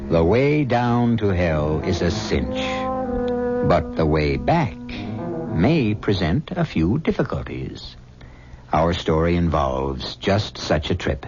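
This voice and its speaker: An older man hosting and narrating a 1970s radio drama in a measured, grave, theatrical way. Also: low-pitched, big pitch swings. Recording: dull old radio recording with music.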